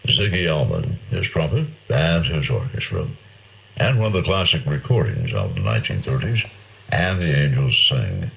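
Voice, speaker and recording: A man's voice: rumbling low, sing-song, moderate pace, gravelly, noisy background. An American man presenting a music show as a radio DJ, heard through an old radio set. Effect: played through an old radio set. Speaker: radio host of a big band music show. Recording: muffled sound from old AM radio speaker.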